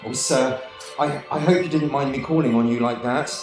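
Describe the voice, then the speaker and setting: A man playing a gentle, bumbling elderly guardian angel in a radio play, earnest, hesitant and apologetic. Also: medium pitch, steady tone, moderate pace, soft background music, close to an everyday voice. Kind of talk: 1940s-style radio play acting. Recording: echoey room, distant microphone.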